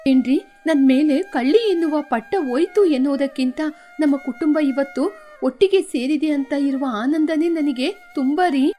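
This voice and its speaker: A woman's voice: high voice, moderate pace, warm tone, faint music behind, close to an everyday voice. A woman voicing a kind, devoted young wife who talks in an earnest, anxious way. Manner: earnest, stammering when afraid.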